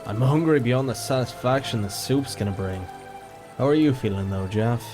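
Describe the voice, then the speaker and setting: A man's voice: medium pitch, normal speed, over music, natural voice. A man playing a grumbling, practical forest ranger in a fantasy audio drama, understated in manner.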